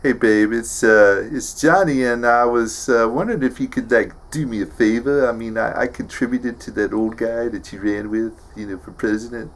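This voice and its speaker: A man playing a slow-witted, tough action-movie hero who stammers and mumbles through favours and boasts in a comedy sketch. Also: mid-range voice, fairly even intonation, lightly acted, poor audio. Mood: casual pleading. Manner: halting, mumbling, stammering braggadocio.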